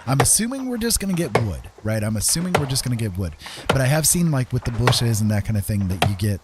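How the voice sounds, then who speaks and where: A man's voice: medium pitch, sing-song, fast, heavy background noise. A man giving casual gameplay commentary, thinking aloud and often tacking little questions onto his sentences. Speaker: game streamer.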